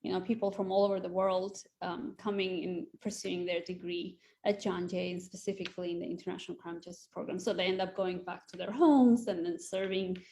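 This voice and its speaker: A woman's voice: lowish voice, little pitch movement, brisk, hardly any pauses, dark tone. Enthusiastic woman program staffer. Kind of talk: conversational panel interview.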